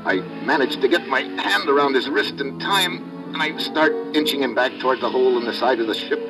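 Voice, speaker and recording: An actor playing a tough, wry undercover diver, narrating tersely in an old-time radio adventure. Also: male voice, mid-range voice, fairly quick, natural voice, poor audio. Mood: tense narration. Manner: terse, hard-boiled. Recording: vintage radio recording with music.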